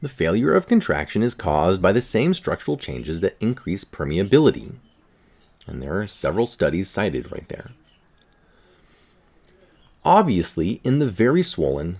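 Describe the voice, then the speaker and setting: Low-pitched man reading an article aloud. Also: a little mellow. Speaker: article reader.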